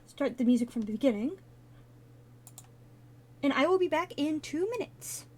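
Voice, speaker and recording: A woman's voice: high voice, animated, normal speed. A woman whose first language is Russian, casually commenting on a game on a live stream and thinking aloud as she wanders into tangents.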